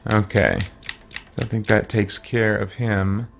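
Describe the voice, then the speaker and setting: A man talking casually on a livestream as he plays a game, halting and thinking aloud. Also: fairly low pitch, steady tone, vocal fry. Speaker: livestream game player and host. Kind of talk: casual livestream chat while playing. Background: game music.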